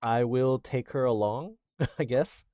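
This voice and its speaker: A man takes part in a tabletop game as a player. He has a medium-pitched voice with a dry, close sound and talks in a casual, conversational way. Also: slow, dark tone, no room sound.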